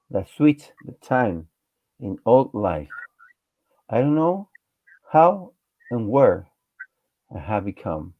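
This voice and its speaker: A man from Chile reciting his poem slowly and earnestly as a guest poet. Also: fairly low pitch. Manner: slow, earnest reading with pauses. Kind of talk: poetry recitation. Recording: dull lo-fi online call audio.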